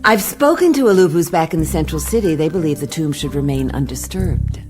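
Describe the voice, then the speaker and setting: Tough skeptical female investigator for radio drama: low voice, wry shifting inflection, blunt, music behind. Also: a little fast. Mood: blunt, challenging. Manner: deadpan, blunt. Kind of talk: radio play acting.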